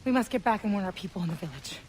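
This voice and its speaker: An actress playing a fierce, protective mother in a video game, earnest and forceful in her speech. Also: mid-range voice, animated, rapid-fire, a little hiss or hum, lightly acted. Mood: urgent warning. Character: fierce, protective warrior mother, strong-willed and urgent. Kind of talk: dramatic video game acting.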